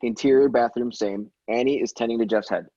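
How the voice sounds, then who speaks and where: A man's voice: mid-range voice, fairly quick, warm tone, dry recording. A man reading stage directions as narrator in an amateur table read, stumbling now and then and correcting himself. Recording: dull, dry lo-fi microphone.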